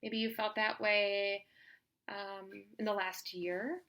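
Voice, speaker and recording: A woman teacher reading a children's story aloud in an expressive, instructive way, pausing to put questions to listeners. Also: medium pitch.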